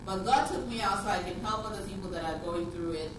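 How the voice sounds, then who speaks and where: A woman's voice: medium pitch, fast talker, background noise. A middle-aged woman from Nigeria gives an earnest, urging public talk into a handheld mic in a conference room, repeating her key phrases. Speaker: speaker. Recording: echoey room from far off.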